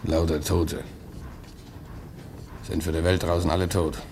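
Deep, gruff, fatalistic ship's trimmer. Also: male voice, level tone, measured pace, darkish tone, a little hiss or hum. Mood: bleak. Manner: terse, colloquial, resigned. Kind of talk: radio drama acting.

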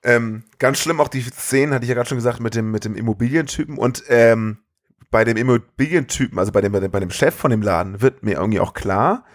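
A man's voice: mid-range voice, fast, no echo, clean studio sound. A man co-hosting a casual film podcast, rambling loosely and enthusiastically in slangy language. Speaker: podcast co-host.

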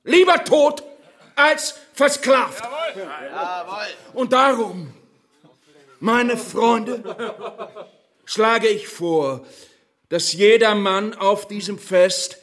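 An actor playing a pompous rural Nazi functionary in a radio play, giving a declamatory, unctuous speech with dramatic pauses. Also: male voice, high voice, expressive, a bit bright, full character voice. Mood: fervent, rousing.

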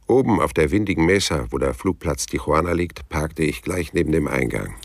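An actor playing a world-weary, wry private detective in a German crime radio play, laconic and sardonic, with a deep, gravelly, husky voice. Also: male voice, lively intonation, brisk, exaggerated voice. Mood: brooding narration. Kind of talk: German radio play acting and first-person crime narration.

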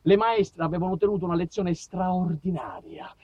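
A man's voice: sits high, expressive, normal speed, darkish tone, no echo, muffled recording. A man performing a comic storytelling monologue for radio, declamatory and mock-epic, shifting between proclaiming and confiding. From southern Italy. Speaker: actor-author narrating his own monologue.

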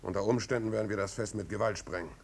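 A man's voice: fast talker, vocal fry. An actor playing a humble, plain-spoken village man, earnest, firm and deferential, in a 1950s German radio drama. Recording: old radio recording, rumbling background noise.

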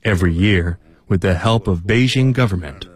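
A man with a fairly low voice reads a translated voice-over. His delivery is plain and measured. It sounds like a studio dub over an interview. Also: husky. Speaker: drum troupe member, dubbed English voice-over.

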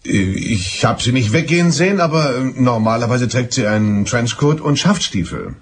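An actor playing an evasive middle-aged suspect, a former army explosives expert, speaking with halting, guarded politeness in a German radio drama. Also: male voice, mid-range voice, animated, slight background noise, natural voice. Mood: nervous.

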